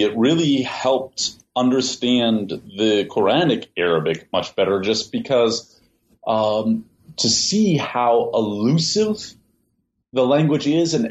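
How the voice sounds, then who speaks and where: A man answering interview questions at length, in a measured way, often repeating words as he thinks. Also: mid-range voice, expressive. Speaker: interview guest, translator. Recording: dull lo-fi sound, faint hiss.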